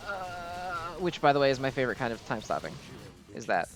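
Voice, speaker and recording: A man's voice: mid-range voice, very animated, fairly quick. A man giving casual gameplay commentary in a rambling, easily distracted way. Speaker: gaming video host. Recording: game music behind the voice. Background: game music.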